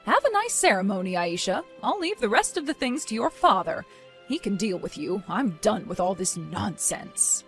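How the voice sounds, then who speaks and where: A woman's voice: a little high, big pitch swings, moderate pace, fairly bright tone, soft background music. A woman voicing a stern, disappointed mother nearing retirement age in a dramatized story, scolding with weary firmness. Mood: weary, resigned.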